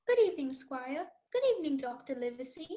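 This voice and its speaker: A woman's voice: high-pitched, very animated, fairly quick, dark tone. A female performer playing a polite, earnest young boy in a radio play, deferential and a little hesitant. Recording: muffled, music playing underneath.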